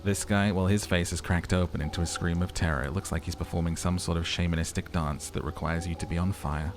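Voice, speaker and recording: A man's voice: deep voice, steady tone, fast. A man doing a let's play, narrating the game dramatically and dropping into casual, sweary commentary. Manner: dramatic storytelling mixed with casual, sweary asides. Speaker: let's player. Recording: faint background game noise.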